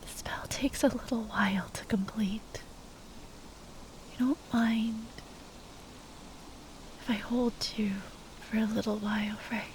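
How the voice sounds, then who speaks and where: A woman playing a tender, grieving spellcaster lover in an ASMR roleplay, speaking in a breathy, halting whisper. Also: fairly high pitch, steady tone, slight background noise, rough recording. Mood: tender, sad. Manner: halting, tender, sighing delivery.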